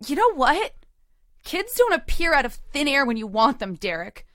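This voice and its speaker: A woman's voice: high voice, very animated, normal speed, fairly bright tone, no room sound, studio quality. A woman playing a hurt, resolute girlfriend in an audio drama, with an intimate, controlled and emotionally weighted delivery. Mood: firm, heated. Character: hurt, resolute girlfriend leaving her long-term boyfriend. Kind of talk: audio drama acting with first-person narration.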